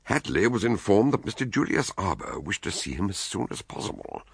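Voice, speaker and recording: An actor playing a ponderous, learned doctor sleuth who tells his case in a measured, theatrical, literary way in a radio drama. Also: deep voice, big pitch swings, gravelly, slightly rough, no echo, studio quality, exaggerated voice. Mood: measured narration. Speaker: detective and narrator. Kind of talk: radio drama narration and dialogue.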